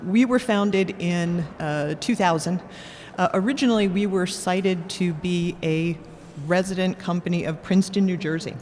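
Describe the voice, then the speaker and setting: A woman, a biotech executive, describing her company in a measured, formal way on a panel. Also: on the low side. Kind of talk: panel introduction. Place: conference hall. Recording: long hall reverb, faint room noise.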